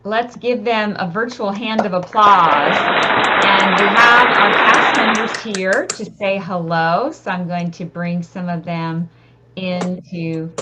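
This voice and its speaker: A woman's voice: medium pitch, fairly even intonation, slow pace. A woman hosting a live online event in a casual manner over a video call. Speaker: event host. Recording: very noisy video call audio.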